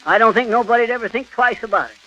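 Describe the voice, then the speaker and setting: An actor playing a folksy, bungling American husband who makes ungrammatical excuses, in 1930s radio comedy style on a crackly old recording. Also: male voice, very high-pitched, fast talker, bright, forceful tone, dull audio, exaggerated voice. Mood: sheepish, defensive. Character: folksy, bungling, defensive husband doing amateur wallpapering.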